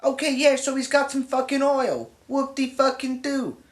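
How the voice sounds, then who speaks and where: A loud, boorish, swaggering young American bro, played by a man, his voice pitched up high. It sounds roomy and echoey, as though he is standing back from the mic. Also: moderate pace, far from the mic. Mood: ranting. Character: brash crude young American man, president's son. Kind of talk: mockumentary comedy acting.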